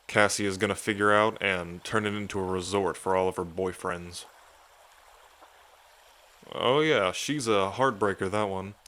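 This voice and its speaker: Man playing a low-voiced, devoted dragon king lover. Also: fairly bright tone, clean tone. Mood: amused, relaxed, then candid, wry. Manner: tender, casual, hesitant when moved. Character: devoted dragon king, affectionate, casual, foul-mouthed male lover. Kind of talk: intimate ASMR roleplay acting.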